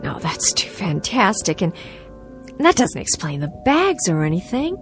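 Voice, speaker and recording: A woman's voice: medium pitch, big pitch swings, a little slow, faint music behind. An actress playing an anxious, polite woman traveler in an audio drama, hesitant and stammering. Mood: agitated.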